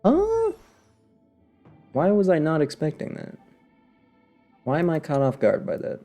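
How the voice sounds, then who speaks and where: A man's voice: fairly high pitch, huge pitch swings, unhurried, dark tone. A man giving casual live commentary on a video game, talking to himself and his viewers in a wry, sarcastic way. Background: game music.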